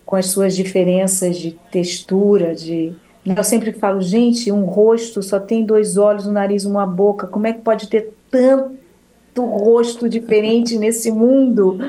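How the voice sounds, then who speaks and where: A Brazilian woman singer talks through an interview in a mellow, soft-edged voice. She rambles warmly, restarts her sentences and keeps checking in with her listener. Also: medium pitch, poor audio. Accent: Brazilian Portuguese. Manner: warm, rambling, with restarts and frequent "né?". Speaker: singer and choir leader. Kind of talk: casual interview talk.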